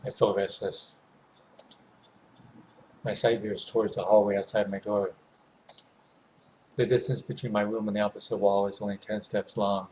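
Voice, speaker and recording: A man with a lowish voice narrates a horror visual novel in the first person. His intonation is very flat, hushed and measured, with pauses between phrases. Faint noise sits behind the home recording. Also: rough recording.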